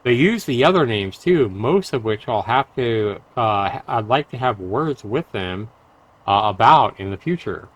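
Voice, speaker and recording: A man livestreaming a visual novel, reading it out dramatically and deliberately with casual asides. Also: mid-range voice, lively intonation. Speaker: game streamer. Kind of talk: reading a visual novel aloud with live reactions. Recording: music behind the voice. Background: game music.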